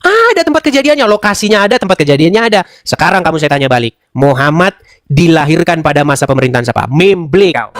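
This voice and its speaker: A man's voice: on the high side, animated, fast, dry recording, clean studio sound. A man hosting an online interfaith debate, lecturing in a mocking way and firing off rhetorical questions. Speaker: debate room host.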